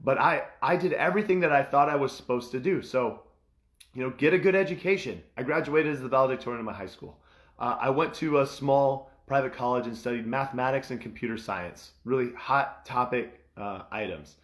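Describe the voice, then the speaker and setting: A man's voice: mid-range voice, a little fast, a bit bright. Scratchy-voiced man hosting a podcast. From Midwestern United States. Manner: earnest, enthusiastic, with frequent fillers and restarts. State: hoarse, recovering from lost voice. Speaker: podcast host and mentor. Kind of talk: unscripted casual podcast talk.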